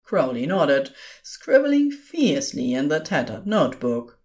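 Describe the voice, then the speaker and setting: A woman narrating scripted fan fiction as an expressive storyteller. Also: sits low, measured pace.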